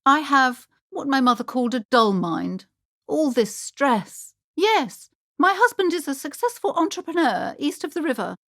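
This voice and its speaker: A high-pitched, wildly swooping middle-aged shopkeeper mother from a video game. She is haughty, pushy and high-strung, sliding theatrically between sugary sweetness and imperious scolding. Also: very animated, moderate pace, a bit bright, exaggerated voice. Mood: wistful, fretful.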